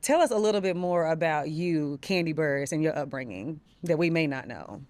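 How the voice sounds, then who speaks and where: A woman with a fairly low voice hosts a casual interview podcast. She comes across as upbeat and affirming, chatting in an informal, conversational way. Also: lively intonation, brisk, darkish tone. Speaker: podcast host. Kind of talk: casual celebrity interview chat.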